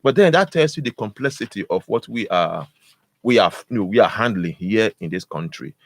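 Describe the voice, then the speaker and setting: Very deep-voiced male analyst. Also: expressive, dry recording. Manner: halting, many fillers and restarts, emphatic. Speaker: studio analyst. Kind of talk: discussion on radio. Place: radio studio.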